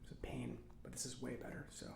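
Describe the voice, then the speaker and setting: A man playing an eccentric, kindly mechanic in a soft-spoken ASMR roleplay, with halting, reassuring murmurs and a breathy voice. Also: medium pitch, monotone, roomy sound, background noise. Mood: chatty. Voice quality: breathy whispering, creaky. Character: eccentric, giddy, kindly male government robot mechanic.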